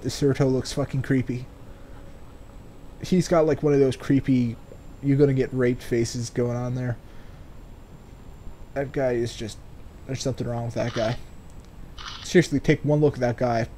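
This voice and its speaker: A man's voice: mid-range voice, mellow, slight background noise. A man doing casual game commentary and reading game text aloud, sardonic and free with swearing. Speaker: let's player.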